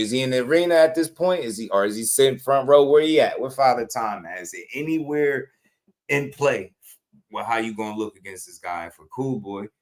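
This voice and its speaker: A man with a lowish voice hosts a casual boxing talk, loose and slangy. He gets animated and laughs easily as he riffs. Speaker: boxing podcast host.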